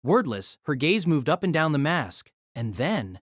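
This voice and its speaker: A man's voice: fairly high pitch, sing-song, measured pace, no room sound, clean studio sound. A man giving a dramatic scripted narration of an action fantasy story.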